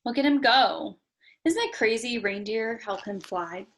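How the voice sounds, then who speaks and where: A woman with a slightly high voice reads a picture book to young children. She is expressive and speaks to them directly, mixing narration with chatty little asides. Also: measured pace. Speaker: storytime reader.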